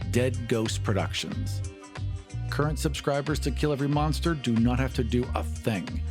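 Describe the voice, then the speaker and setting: A man hosting a podcast, reading a scripted announcement to listeners. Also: medium pitch. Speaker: podcast host.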